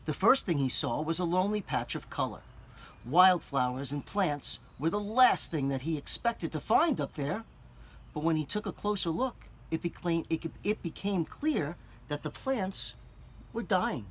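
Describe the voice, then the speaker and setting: A man reading a picture book aloud to children, gently stopping to explain words and show things. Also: on the high side, expressive, normal speed, breathy edge. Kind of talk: children's read-aloud with asides.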